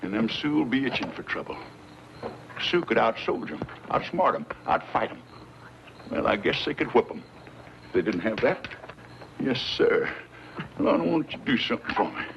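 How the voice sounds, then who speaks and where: A man's voice: mid-range voice, sing-song, moderate pace, vocal fry, long pauses, a bit bright. An actor playing a folksy, garrulous old frontiersman with an American frontier drawl in an old radio western. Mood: admiring, confident. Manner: rambling, homespun, breaks into humming and song. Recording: dull, noisy old radio recording.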